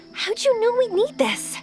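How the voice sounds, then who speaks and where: Bubbly kind young adventurer girl from a fantasy game, high voice, really swoopy and expressive. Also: heavily acted. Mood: cheerful. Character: cheerful, warm-hearted young woman adventurer in a fantasy game. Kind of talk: animated video game voice acting.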